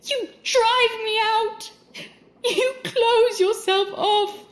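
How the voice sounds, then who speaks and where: An actress playing a proud, wounded young bride in a gothic audio drama, speaking in a formal, solemn manner. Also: female voice, very high-pitched, fairly even intonation, very slow, heavily acted. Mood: hurt, accusing.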